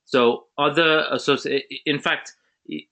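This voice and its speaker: A man's voice: fairly high pitch, steady tone, unhurried, sharp tone. A man from Zambia hosting an online webinar, talking casually with many restarts and fillers. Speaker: webinar host.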